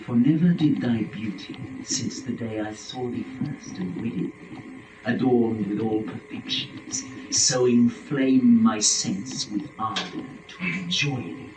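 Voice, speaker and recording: A man performs an impassioned first man in a dramatic reading of epic verse. He declaims at a very slow, deliberate pace with sweeping emphasis. The recording is roomy and distant, with the microphone well away from him. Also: mid-range voice. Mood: amorous.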